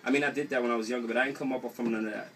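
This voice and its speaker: A man's voice: mid-range voice, steady tone, rapid-fire, fairly bright tone, far from the mic. A young man with a New York accent chatting casually and slangily as a guest in an interview. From New York City, US. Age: young adult. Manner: slangy, informal. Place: small radio studio. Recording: off-mic with faint noise.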